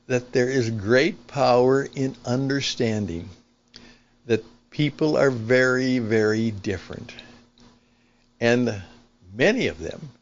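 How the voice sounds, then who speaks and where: An older man hosting a talk show with a conversational opinion monologue, speaking in an emphatic, deliberate, explanatory way. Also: medium pitch, highs cut off. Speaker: podcast host and veteran broadcaster.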